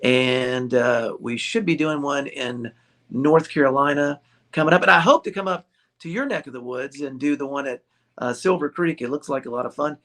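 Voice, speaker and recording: A man's voice: mid-range voice, moderate pace, fairly bright tone. A man, a ukulele shop owner being interviewed, chatting casually and joking with frequent laughter. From United States. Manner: jokey, laughs often. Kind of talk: casual chat.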